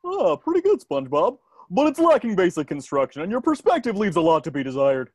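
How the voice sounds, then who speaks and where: A performer voicing a dim-witted, goofy male cartoon starfish sidekick with a husky, exclamatory delivery at a table read. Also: mid-range voice, huge pitch swings, brisk, a little mellow, no echo. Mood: nonchalant.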